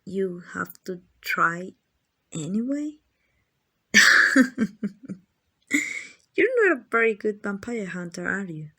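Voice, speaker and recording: A woman's voice: mid-range voice, animated, slow pace, long pauses, bright, forceful tone, full character voice. A woman voicing an arrogant, possessive female vampire in an ASMR roleplay, teasing and condescending to the listener. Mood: mocking, amused. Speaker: amateur voice actor.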